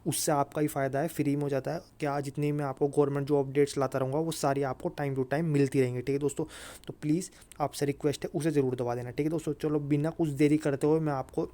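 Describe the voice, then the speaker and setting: A man's voice: fairly high pitch, level tone, fast talker, mellow. A man with a North Indian accent explaining updates informally to viewers, repetitive and insistent, mixing in English words. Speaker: exam-update YouTuber.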